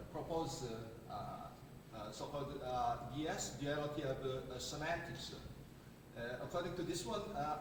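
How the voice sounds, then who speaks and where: Halting Korean-accented man in an echoey hall. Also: on the high side, noisy background. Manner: halting, many filler sounds and restarts. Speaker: conference speaker. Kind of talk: academic conference talk. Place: large conference hall. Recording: echoey hall recorded from far off.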